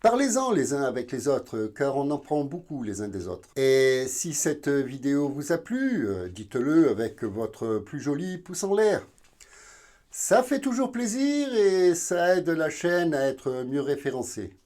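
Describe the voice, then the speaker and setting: A man from southern France hosting an educational video, explaining informally in a halting, didactic way. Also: on the high side, very animated, darkish tone. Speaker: video channel host.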